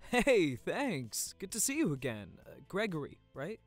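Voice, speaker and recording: A man's voice: high voice, wildly swooping pitch, unhurried, soft background music, heavily acted. A man playing an easygoing, good-humoured boyfriend at a party in an audio drama. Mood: friendly. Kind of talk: naturalistic audio drama acting.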